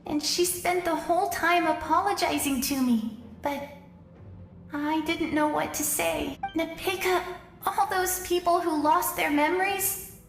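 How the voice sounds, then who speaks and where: A woman's voice: extremely high pitch, unhurried, creaky, echoey room, far from the mic, soft background music, heavily acted. A woman voicing a sweet, brave little girl in a video game, speaking in an earnest, childlike way. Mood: sad, hesitant.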